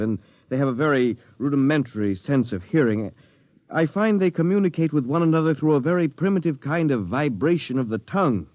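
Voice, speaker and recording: An actor playing an earnest, deferential communications expert, polite and careful in explanation, in a 1950s radio drama. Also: male voice, mid-range voice, dry recording, highs cut off, close to an everyday voice. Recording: dry, band-limited 1950s radio recording.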